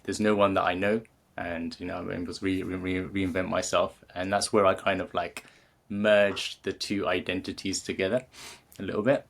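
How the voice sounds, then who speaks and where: A man in his thirties with a British accent speaks in a very low voice. He talks loosely as an interview guest, stopping and restarting often, with plenty of fillers along the way. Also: little pitch movement, moderate pace, gravelly. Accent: British English. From England. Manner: hesitant, many fillers and restarts. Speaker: guest, creativity coach. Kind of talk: casual interview conversation.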